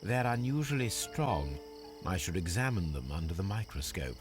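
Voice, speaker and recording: A man playing a calm, confident Victorian gentleman detective in a video game, with a British accent and a precise, formal manner. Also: sits low, moderate pace, over music. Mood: musing. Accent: British English.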